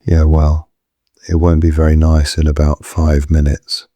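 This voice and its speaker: An actor playing an anxious, protective husband in an audio drama, muttering with urgency. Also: male voice, very deep voice, little pitch movement, a little slow, warm tone, dry recording. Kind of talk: full-cast audio drama acting.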